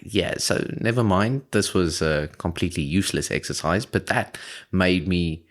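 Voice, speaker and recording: A man's voice: deep voice, moderate pace. A man from South Africa whose first language is Afrikaans, co-hosting a podcast in casual, rambling chat and laughing often. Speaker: podcast co-host.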